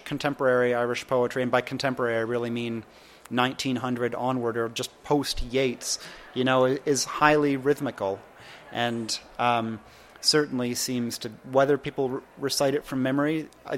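A man speaks about his poetry in a thoughtful, halting way, full of ums and restarts. His voice sits at an ordinary pitch, with faint background noise in the recording. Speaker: poet. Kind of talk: Q&A after a poetry reading.

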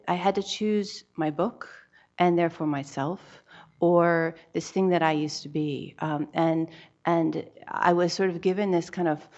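A woman writer speaking on a panel, reflective and hesitant, pausing often with fillers. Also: low-pitched, a little mellow, highs cut off. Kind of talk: panel talk.

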